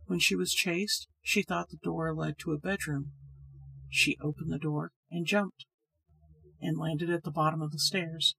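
A woman voicing a sad, hesitant man who tells a ghost story in a grave, measured way. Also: sits low, steady tone, creaky, bright, forceful tone, exaggerated voice, poor audio.

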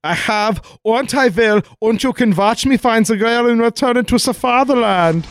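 An actor playing a fussy, scheming German villain in a comic 1940s-style radio play, with a German accent and theatrical, long-winded gloating. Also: male voice, extremely high pitch, normal speed, no room sound. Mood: smug, gleeful. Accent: put-on German. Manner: theatrical, hesitant, over-explaining gloating.

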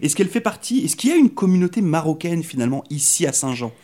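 A man's voice: on the high side, very animated, fast talker, dark tone. A man interviewing a guest in a casual, chatty way, with many fillers and repeated words.

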